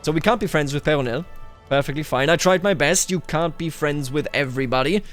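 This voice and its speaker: A man with a slightly high-pitched voice narrates a strategy game in roleplay style. He speaks to the characters and to himself, slipping into theatrical proclamations. Music plays softly behind him. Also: fairly quick. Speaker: gaming YouTuber.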